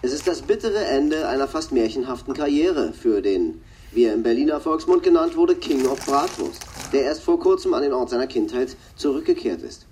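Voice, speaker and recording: An actor playing a slimy tabloid TV reporter, delivering a florid, pompous news report in a radio drama. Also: male voice, medium pitch, very animated, moderate pace, mellow, slight background noise, close to an everyday voice. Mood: sensational.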